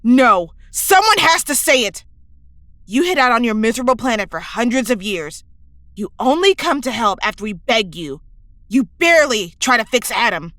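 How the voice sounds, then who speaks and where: An actress playing a fiery, outspoken young hero in an audio drama, with a blunt manner. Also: female voice, on the high side, no room sound, clean studio sound. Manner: blunt, forceful.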